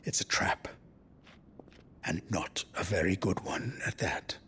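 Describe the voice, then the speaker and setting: An actor playing a gruff, protective rebel comrade in a video game, blunt, grumbling and exasperated but loyal. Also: male voice, sits low, slow pace, pushed and bright, in a whisper, full character voice. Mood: grim. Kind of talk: video game cutscene acting.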